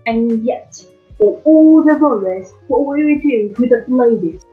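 A woman's voice: fairly high pitch, expressive, unhurried. A young woman playing a probing, dismissive male county attorney in an amateur student drama, with hesitant delivery. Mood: condescending. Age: young adult. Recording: muffled band-limited recording, music behind.

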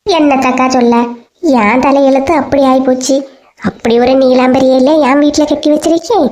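High-voiced woman with Kanyakumari Tamil accent. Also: fairly even intonation, normal speed, darkish tone. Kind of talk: cartoon comedy voice acting.

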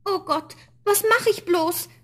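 Very high-voiced anxious little girl. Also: takes it slowly. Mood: worried. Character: young girl, good-hearted, anxious youngest daughter. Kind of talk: radio fairy-tale play acting.

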